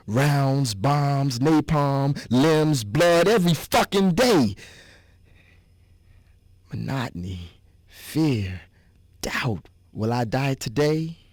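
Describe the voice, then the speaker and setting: A man giving a dramatic reading as a disillusioned young soldier, in halting, terse phrases. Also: mid-range voice, darkish tone, no room sound. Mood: agitated, bitter, then bleak, bitter. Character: disillusioned young American soldier, haunted and bitter. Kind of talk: dramatic reading of war poetry.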